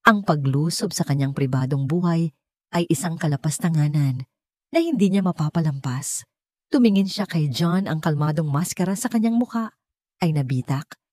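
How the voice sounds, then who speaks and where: Low-voiced Filipino woman narrating drama. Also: animated, moderate pace, mellow, no echo, studio quality. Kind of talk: dramatic scripted story narration.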